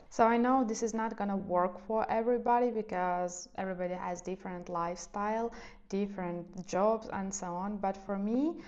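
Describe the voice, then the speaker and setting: A young woman who is not a native English speaker talks gently to camera, sharing advice in a soft, reflective way and hedging as she goes. Her voice sits at an ordinary middle pitch. The audio is a little dull and muffled. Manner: soft, reflective, hedging with "let's say" and "I don't know". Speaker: lifestyle vlogger. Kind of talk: casual advice talk to camera.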